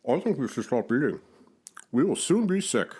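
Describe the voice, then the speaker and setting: A patient, sensible frog from a children's storybook, acted by a man reading aloud. The voice is raised high and a little husky, swinging melodically as it offers kindly advice. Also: wildly swooping pitch, measured pace, full character voice. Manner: patient, advising.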